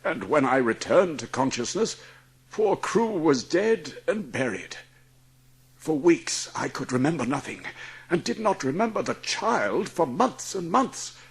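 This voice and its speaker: Casting: male actor as ailing wealthy gentleman, middle-aged, racked by guilt, husky and highly expressive, audiobook drama. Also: exaggerated voice. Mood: anguished, remorseful. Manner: anguished, halting.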